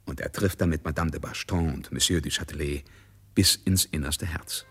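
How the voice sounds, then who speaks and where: A man narrating a literary radio drama with expressive storytelling and deliberate phrasing. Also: rumbling low, husky, soft background music.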